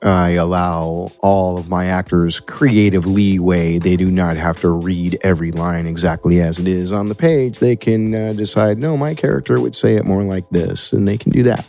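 A man's voice: low-pitched, moderate pace, creaky, hardly any pauses, dark tone, soft background music. An American man talking casually and playfully as a podcast guest, explaining how he works in a laid-back, colloquial way. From California, USA. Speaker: podcast producer and audio editor, guest.

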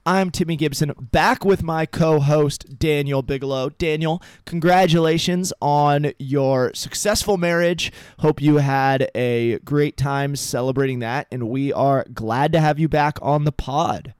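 Smooth-voiced male podcast host, dry mic. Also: on the high side, a little slow, hardly any pauses, no echo. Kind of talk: casual sports chat.

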